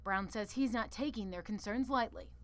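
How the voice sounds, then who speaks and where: A woman's voice: mid-range voice, normal speed. A woman news reporter reading scripted narration for a television report.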